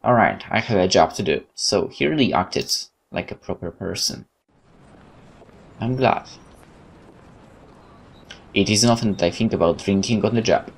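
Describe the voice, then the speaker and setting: A man's voice: on the low side, expressive, unhurried, gravelly, long pauses, a little hiss or hum, poor audio. A man reading a visual novel aloud as a let's play host, with informal muttered asides and interruptions to himself.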